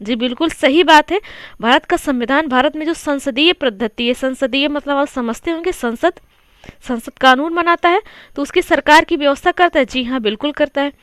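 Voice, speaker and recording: High-voiced woman explaining quiz answers. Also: normal speed, a bit bright, no room sound, studio quality. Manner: conversational, repeats points and asks the listener questions. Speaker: exam coaching teacher. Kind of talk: Hindi lesson going through multiple-choice quiz answers.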